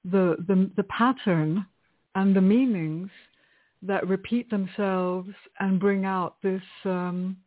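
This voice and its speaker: A woman from Greece, a guest poet in a relaxed interview, who speaks thoughtfully with many pauses and laughs often. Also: mid-range voice. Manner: thoughtful, hesitant, laughs often between phrases. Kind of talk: conversational interview. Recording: tinny phone line.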